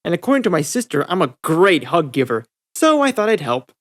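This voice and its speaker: A reader voicing a bubbly, excitable, hyper teenage cartoon girl in a fan story. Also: mid-range voice, brisk, voice pushed up, natural voice. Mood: cheerful. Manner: breezy, excitable, cheerful.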